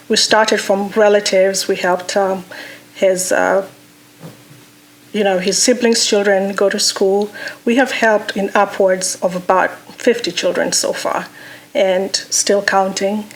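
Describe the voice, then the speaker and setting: Earnest Kenyan woman, mid-pitched voice. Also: poor audio. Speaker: foundation executive director. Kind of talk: personal account, interview-style.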